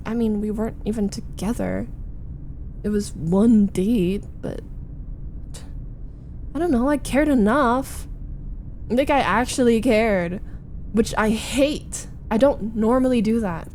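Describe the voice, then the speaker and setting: A woman playing a frustrated, jealous, needy young roommate venting in an intimate ASMR roleplay, with halting, dramatic delivery. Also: fairly high pitch, darkish tone, slight background noise. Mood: hurt, rueful. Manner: halting, sighing, dramatic, emphatic complaining. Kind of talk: ASMR roleplay, intimate first-person monologue.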